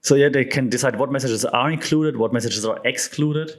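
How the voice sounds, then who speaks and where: A man from Germany with a clear German accent, talking through media theory in a loose, conversational monologue. He keeps stopping to correct himself, drops plenty of fillers, and breaks off into joking asides before getting back to his point. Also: mid-range voice, a little fast. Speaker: podcast host. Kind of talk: casual explanatory monologue.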